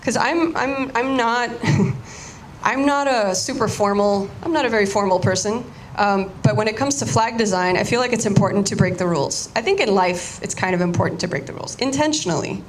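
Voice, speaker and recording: A woman's voice: medium pitch, brisk, a little hiss or hum, poor audio. A Cuban-American woman whose first language was Spanish, giving a casual artist talk and often restarting her sentences. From United States, Cuban-American family.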